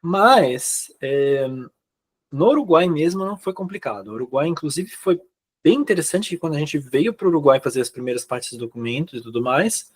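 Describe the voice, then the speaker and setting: Higher-pitched Brazilian man chatting casually. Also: lively intonation, moderate pace, husky. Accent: Brazilian Portuguese. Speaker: guest, immigrant to Uruguay. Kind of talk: casual conversation, telling personal experience.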